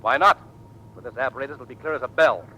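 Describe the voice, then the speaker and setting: Brash, sharp, fast-talking navy inventor. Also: male voice, mid-range voice, husky, poor audio. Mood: cocky, driven. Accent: American. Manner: curt, commanding. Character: brash, impatient, driven navy lieutenant and inventor. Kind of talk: old-time radio drama acting.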